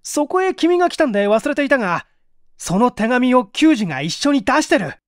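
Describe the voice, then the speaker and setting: A man's voice: extremely high pitch, very animated, moderate pace, no echo, clean studio sound, pitched above the performer's own voice. A man voicing an anxious male colleague who talks in urgent agitation, in an audiobook mystery. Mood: urgent alarm. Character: frantic, anxious male colleague shouting over the telephone.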